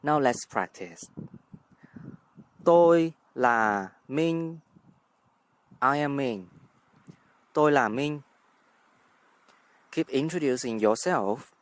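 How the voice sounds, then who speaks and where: Slow, careful Vietnamese-accented man teaching. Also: mid-range voice, lively intonation. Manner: careful, deliberate, spells out words. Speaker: language teacher. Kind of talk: scripted language lesson.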